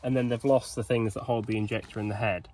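A man's voice: lowish voice, steady tone, fast talker, darkish tone. A young man in his twenties, chatting cheekily and thinking aloud as he strips an engine outdoors at night, recorded on a nearby camera. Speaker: vlogger mechanic. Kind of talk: casual workshop narration. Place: outdoors at night by an old digger.

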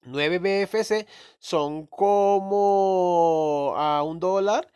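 A man's voice: sits high, drawn-out words, a little mellow, clear, resonant tone. A man casually talking through a phone screen recording, rambling and correcting himself as he explains a crypto game. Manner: rambling, self-correcting, mild swearing. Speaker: crypto gaming youtuber.

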